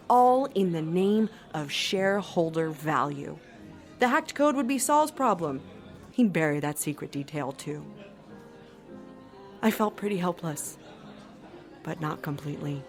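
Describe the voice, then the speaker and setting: An actress playing a shrewd, sardonic woman who narrates an audio drama in a wry, confiding manner. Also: lowish voice. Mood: wry, scheming.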